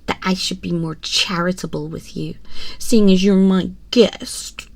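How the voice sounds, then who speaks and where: Woman voicing an imperious empress, condescending, cruelly mocking, unhurried formal diction, ASMR roleplay, close dry binaural sound. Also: fairly low pitch, fairly even intonation, creaky, no echo. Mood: haughty, taunting. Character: haughty, cruel, mocking empress toying with a prisoner. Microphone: close binaural microphone, dry.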